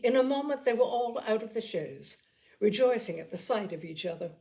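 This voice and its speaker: A woman reading a classic novel aloud in a measured storytelling manner, now and then correcting a slipped word. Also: a little high, animated, mellow. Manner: measured storytelling, occasional slips and self-corrections. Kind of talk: audiobook narration.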